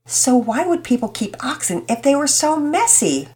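A woman voicing a patient, gentle mother figure who speaks calmly and explains things like a teacher. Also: high-pitched, moderate pace, pitched above the performer's own voice. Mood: teaching. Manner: calm, warm, teaching tone.

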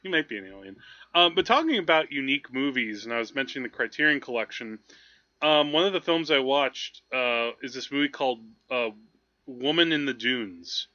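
Bright, forceful man rambling about movies. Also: medium pitch, animated, lo-fi sound. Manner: rambling, halting, thinking aloud. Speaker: film podcast host. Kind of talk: casual movie discussion.

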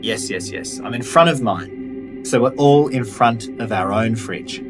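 A man with a slightly husky voice, narrating a scripted audio guide in a conversational way that instructs the listener directly. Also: medium pitch, unhurried, music in the background.

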